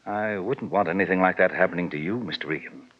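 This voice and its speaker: An actor playing a menacing, falsely courteous middle-aged crime boss in a 1940s radio drama. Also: male voice, low-pitched, fast talker. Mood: smoothly menacing. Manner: falsely courteous, veiled threats. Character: smooth, menacing, self-important male racketeer boss, middle-aged. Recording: lo-fi, noisy 1940s radio recording.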